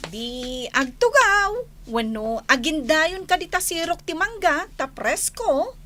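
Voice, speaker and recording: Very high, excitable Ilocano busybody. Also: female voice, slow pace, exaggerated voice. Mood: gossipy. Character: excitable, tattling female relative fussing over the household. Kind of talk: Filipino radio drama acting.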